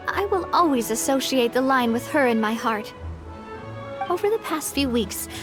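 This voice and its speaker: A high, youthful voice from an actress as an earnest young woman who leads with brave composure and a wistful streak. Her game dialogue is expressive and measured, with music playing softly behind it. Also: close to an everyday voice. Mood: reflective, resolute. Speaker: video game voice actor.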